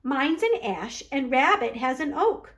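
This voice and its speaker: A woman's voice: high voice, big pitch swings, measured pace, a bit bright, pitched above the performer's own voice, heavily acted. A woman voicing a young female beaver in a children's storybook, a matter-of-fact know-it-all who explains things confidently. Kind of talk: children's storybook read-aloud.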